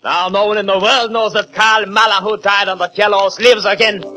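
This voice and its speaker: An actor playing a megalomaniac, vengeful scientist in a grandiose, menacing style of old radio horror. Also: male voice, sits high, fairly even intonation, moderate pace, pushed and bright, faint music behind. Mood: vengeful, gloating. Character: megalomaniac vengeful male scientist revived in a stolen body. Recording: old radio recording, faint noise.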